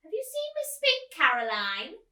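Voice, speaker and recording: A woman voicing a fussy, fretful elderly lady from a storybook, loud and exclamatory. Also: very high-pitched, huge pitch swings, a little slow, far from the mic, voice pushed up, heavily acted. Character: fussy elderly British lady neighbour, kindly but fretful. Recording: echoey room, away from the mic.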